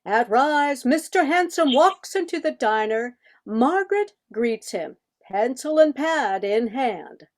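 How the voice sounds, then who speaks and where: Older lady narrating a radio play script, high voice, very up and down intonation. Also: sing-song, slow, few pauses. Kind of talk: scripted stage-direction narration.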